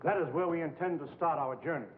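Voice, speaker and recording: Actor as a high-voiced, earnest scientist, echoing hall. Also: male voice, fast, close to an everyday voice. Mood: earnest, persuasive. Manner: earnest, formal, declamatory. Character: embattled, earnest male scientist pleading to save mankind. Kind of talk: 1950s film acting, oratorical. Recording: hollow, tinny old film soundtrack, hiss.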